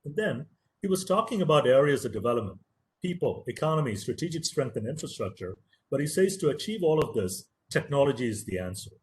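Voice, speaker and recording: Tamil-native guy lecturing on a webinar, earnest and emphatic, mid-pitched voice, leans hard on key words. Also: big pitch swings, brisk, rough recording. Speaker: professor giving a webinar lecture. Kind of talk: motivational lecture.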